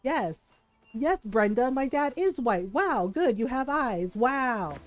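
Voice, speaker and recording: A woman chatting casually on a live game stream in a candid, sweary, animated storytelling way, who grew up speaking Tagalog, English and Spanish. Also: on the high side, slow pace, no room sound.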